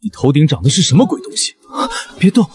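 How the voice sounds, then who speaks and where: An actor playing a brash, hot-tempered frontier general from ancient China, coarse and taunting, in an animated costume drama. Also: male voice, fairly high pitch, fast talker. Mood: threatening.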